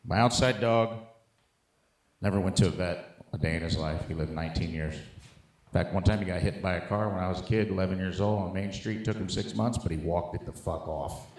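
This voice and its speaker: A man performing a profane stand-up set, telling animated stories with acted-out bits. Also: low-pitched, a little fast, slight background noise. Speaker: stand-up comedian, special guest. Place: live show on a stage before an audience. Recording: roomy live stage sound.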